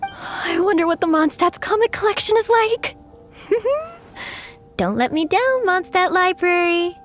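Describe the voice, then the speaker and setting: Very high-pitched excitable little girl. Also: slow pace, soft background music, exaggerated voice. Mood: eager. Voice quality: girlish, childlike. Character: excitable bookish little girl, cheeky, easily miffed. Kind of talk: English anime-style video game dub.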